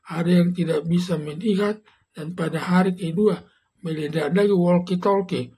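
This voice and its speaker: An older man reading out a commentary in a halting way. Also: high voice, mellow, husky. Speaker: legal commentator. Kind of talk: scripted commentary, read aloud.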